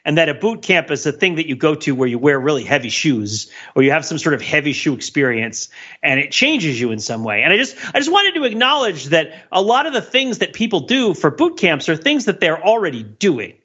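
Animated, bright, husky man. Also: on the high side, a little fast. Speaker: podcast co-host. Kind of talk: casual chat. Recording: slightly dull, lo-fi sound.

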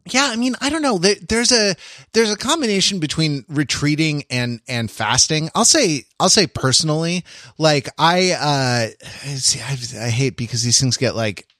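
A man co-hosting a podcast in casual conversation, rambling and halting as he circles back to rephrase his thoughts. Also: medium pitch, fairly bright tone, dry recording, clean studio sound. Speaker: podcast co-host.

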